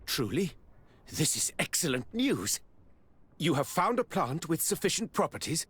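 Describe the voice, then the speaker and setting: An actor playing a grand colonial governor in a fantasy game, speaking with formal, effusive courtesy. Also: male voice, a little high, very animated, measured pace, fairly bright tone, husky, no room sound, exaggerated voice. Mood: gracious. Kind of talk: scripted game cutscene acting.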